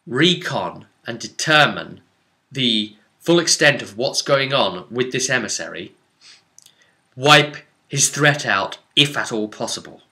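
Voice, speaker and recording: A man's voice: medium pitch, sharp tone. A man speaking as a game master, telling the story of a tabletop role-play session informally, with emphatic, dramatic delivery. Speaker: game master recapping a campaign.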